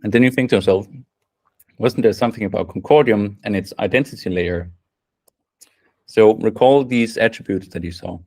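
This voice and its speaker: A young man leads a technical workshop, his voice sitting a little low. He talks casually and thinks out loud, with plenty of ums and restarts. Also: normal speed, pauses often. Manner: casual, thinks aloud with many fillers and restarts. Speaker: workshop presenter. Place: event room.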